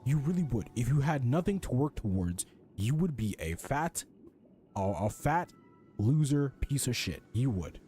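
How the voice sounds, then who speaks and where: Male game commentator: soft-edged warm timbre, opinionated, sweary, casual, hammering points, light music behind. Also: mid-range voice, very animated, unhurried. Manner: emphatic, repetitive, blunt, sweary. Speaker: game streamer.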